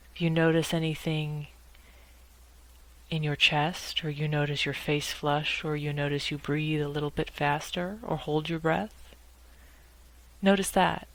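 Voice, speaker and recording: A woman guiding a meditation in a measured, instructional way, speaking in short phrases with pauses between them. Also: deep voice, steady tone, a bit bright, poor audio. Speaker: guided meditation leader. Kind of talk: guided meditation narration.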